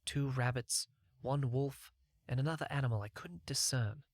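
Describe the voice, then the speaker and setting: A male audiobook narrator reads scripted first-person fiction. His voice sits at a middle pitch, and the recording is dry and close. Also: moderate pace, no echo.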